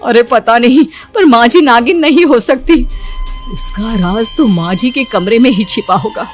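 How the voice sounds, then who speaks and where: A woman playing an outspoken village daughter-in-law in a cartoon, with an expressive way of talking. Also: fairly high pitch, a little slow, soft background music, natural voice. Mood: puzzled, shocked. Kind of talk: Hindi cartoon story acting.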